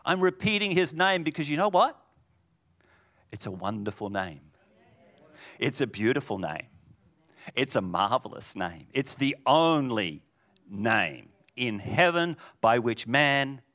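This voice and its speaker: A man preaching a sermon in a booming voice, swinging from loud declarations to hushed lines. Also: big pitch swings, slow, long pauses.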